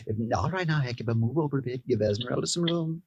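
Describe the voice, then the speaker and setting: A man's voice: mid-range voice, animated, fast talker, breathy edge, no room sound, dull audio. An actor playing a grandiose, earnest male showman with a theatrical, self-important manner in old radio comedy-drama style. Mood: coaxing. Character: grandiose, earnest showman who trains singing mice.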